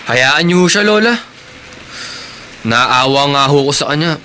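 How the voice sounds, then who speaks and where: A man's voice: fairly high pitch, expressive, unhurried, bright, forceful tone, a little hiss or hum. A man playing a resentful young working man and older brother in a radio drama, with a Filipino accent. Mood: firm.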